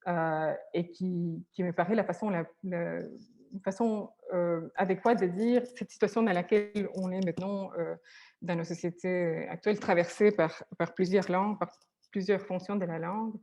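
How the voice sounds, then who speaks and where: A woman's voice: lowish voice, steady tone, normal speed, darkish tone. A woman therapist whose first language is Spanish, speaking hesitantly with many restarts and fillers.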